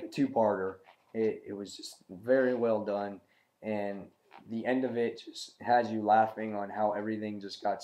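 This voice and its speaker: Raspy-voiced man rambling about comics. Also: fairly low pitch, a little mellow. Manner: enthusiastic, rambling with many fillers and restarts. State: recovering from the flu. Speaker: comic review vlogger. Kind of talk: casual review monologue.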